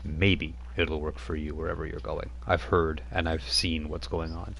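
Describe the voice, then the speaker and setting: A scornful vampire woman who has outlived centuries, as a man puts her on at the game table. Her voice sits very deep and dark, and she speaks with weary contempt for lesser creatures. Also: little pitch movement, moderate pace, vocal fry, slight background noise, exaggerated voice. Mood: solemn. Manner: contemptuous, brooding.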